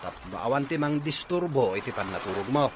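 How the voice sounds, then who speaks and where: An actor with a Filipino Ilocano accent playing a sullen, work-shy, complaining husband in a radio drama. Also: male voice, medium pitch, expressive, fairly quick, natural voice. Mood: bitter, heated. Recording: noisy radio drama recording.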